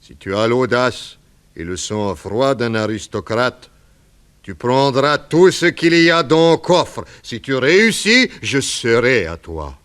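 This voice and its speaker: An actor playing a desperate, lovesick Russian émigré and confessed murderer, with a Russian accent, in theatrical 1960s French radio drama style. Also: male voice, mid-range voice, very animated, takes it slowly, a bit bright. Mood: solemn recounting.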